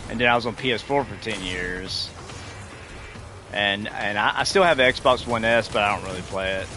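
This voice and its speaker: A man's voice: lowish voice, normal speed, bright, forceful tone, slight background noise. A man in his forties chatting casually with his viewers while gaming, rambling and restarting his sentences. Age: 40s. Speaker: livestreamer talking to chat. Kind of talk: casual chat while playing.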